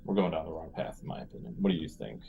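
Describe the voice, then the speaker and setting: A man's voice: extremely low pitch, animated, racing, gravelly, warm tone, slight background noise. A man talking casually as a player in a tabletop role-playing game.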